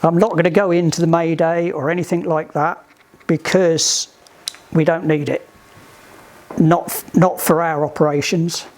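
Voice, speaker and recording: A man giving informal, practical instruction to a group, halting and often restarting his phrases. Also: fairly high pitch, little pitch movement, a little mellow. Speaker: instructor at a sailing club radio session. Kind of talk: informal instructional talk with questions.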